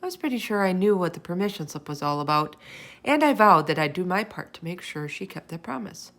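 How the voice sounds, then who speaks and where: A woman reading a children's chapter book aloud in an expressive storytelling style. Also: fairly low pitch, brisk. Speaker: storybook reader. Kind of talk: children's read-aloud, expressive scripted narration.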